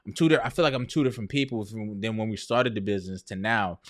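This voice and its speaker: A man's voice: on the low side, fast talker. Candid man in his thirties, chatting casually. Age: 30s. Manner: conversational, often checks in with "right?" and "you know". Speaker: entrepreneur video host sharing personal story. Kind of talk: candid casual chat to viewers.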